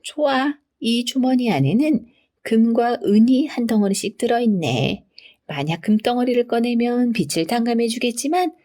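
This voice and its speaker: Storybook villain, played by a female reader: greedy rich man, pompous, sly, demanding, with animated swings. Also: a little high, moderate pace, voice pushed up. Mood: scheming.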